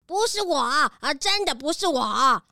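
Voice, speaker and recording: A woman's voice: sits high, big pitch swings, unhurried, bright, forceful tone, heavily acted. A woman voicing a nervous, eager-to-please young maid who talks jittery and apologetic, full of anxious fillers. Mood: pleading. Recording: light music bed.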